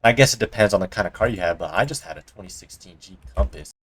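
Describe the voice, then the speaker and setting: A young man from Colorado with a slightly low voice tells a story straight to camera in a loose, profane, slangy way. He sounds like a home vlogger talking into a cheap desk mic propped up on a jar. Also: quick pace, a bit bright. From Colorado, USA. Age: young adult. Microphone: cheap desk mic resting on a coin jar.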